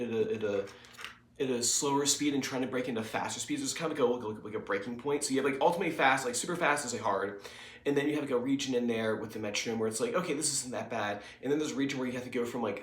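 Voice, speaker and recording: A man's voice: medium pitch, roomy sound. A man with a cold, sounding congested, talking a student through a guitar lesson in a loose, rambling way full of fillers. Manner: rambling, filler-heavy explanations. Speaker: guitar teacher. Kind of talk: casual recorded lesson to a student. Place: home music studio.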